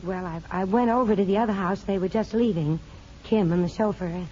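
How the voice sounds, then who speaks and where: An American woman playing an anxious mother longing for her child, earnest and halting, in 1950s radio drama style. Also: medium pitch, dark tone, lightly acted. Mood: hesitant. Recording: muffled, noisy old radio broadcast.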